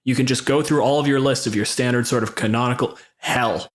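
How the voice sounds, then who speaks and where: A man's voice: medium pitch, fairly even intonation, brisk. A man hosting a podcast, chatting casually and with opinions, swearing freely as he makes his points. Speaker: podcast host.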